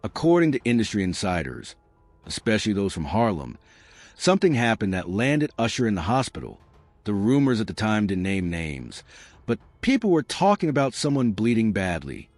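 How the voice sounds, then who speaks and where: A man's voice: lowish voice, very animated, moderate pace, no room sound. A man reading a scripted gossip documentary narration as a voice-over. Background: music.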